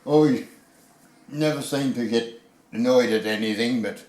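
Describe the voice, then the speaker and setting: A man's voice: mid-range voice, normal speed, darkish tone. An older Australian man reminiscing about his grandfather in short, hesitant remarks. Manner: brief, hesitant replies. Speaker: reader and interviewee. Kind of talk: casual reminiscing conversation. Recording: roomy echo.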